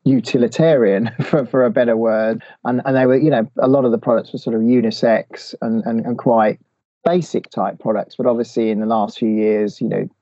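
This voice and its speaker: A man's voice: mid-range voice, fairly even intonation, fairly quick, dark tone, no echo, dull audio. A man answering interview questions, hesitant, with many restarts and fillers. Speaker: guest expert.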